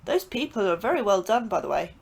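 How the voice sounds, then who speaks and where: A woman's voice: mid-range voice, very animated, fast talker, gravelly, a little mellow, slightly rough, full character voice. A woman playing a curious, chatty young science officer who likes to tease her colleagues in an audio drama.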